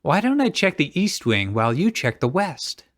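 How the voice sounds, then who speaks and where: A man's voice: on the high side, very animated, normal speed, pitched above the performer's own voice. A man voicing an earnest young princess heroine in a fan-fiction read-aloud. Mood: gentle concern.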